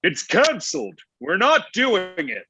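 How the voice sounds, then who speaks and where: A man's voice: sits high, wildly swooping pitch, a little slow, pushed and bright, a little hiss or hum, full character voice. A man playing a sulky, lovesick old Santa Claus in a comedic table read. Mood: blustery. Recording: dull lo-fi online call.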